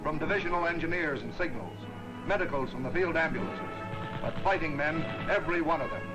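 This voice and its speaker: A man narrating a wartime newsreel in a brisk, stirring announcer's delivery. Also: fairly high pitch, low-quality recording. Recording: old newsreel soundtrack with loud music.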